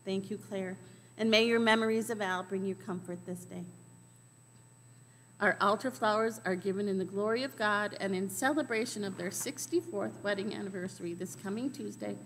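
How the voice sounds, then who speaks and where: A middle-aged woman at a lectern microphone reads the weekly church announcements, measured and steady, her voice at an ordinary middle pitch. The big sanctuary gives her words a long trailing reverb, with a faint hiss of noise underneath. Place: large church sanctuary, indoors.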